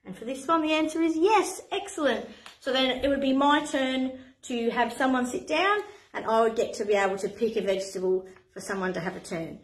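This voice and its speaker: A woman's voice: fairly high pitch, brisk. A woman, a playgroup facilitator chatting to camera in an encouraging way with frequent ums. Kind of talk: online playgroup lesson, talking to camera. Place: indoor play room. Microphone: camera at a few metres. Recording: echoey room.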